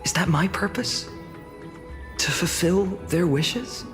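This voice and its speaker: A man's voice: high voice, expressive, slow pace. A young man playing a humble village hero in a fantasy game, earnest, hesitant and musing. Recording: long hall reverb under music.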